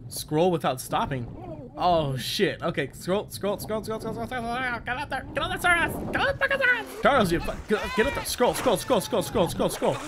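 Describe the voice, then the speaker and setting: A man giving casual, profane and excitable game commentary, talking straight to the character he is playing. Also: sits high, normal speed, background noise. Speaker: gaming video host. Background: game audio of boat and water.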